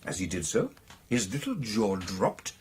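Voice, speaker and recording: An actor playing an excitable British club gentleman, telling his tale in a theatrical, hesitating and emphatic radio drama style with a husky voice. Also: on the low side, unhurried, a little hiss or hum. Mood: animated, amused. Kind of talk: dramatic storytelling in a radio play.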